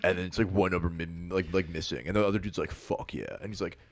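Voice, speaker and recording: A man's voice: low-pitched, fast talker. A young man co-hosting a podcast, telling rambling stories in casual chat, filling his talk with "like". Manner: rambling storyteller, lots of "like" fillers. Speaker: podcast co-host.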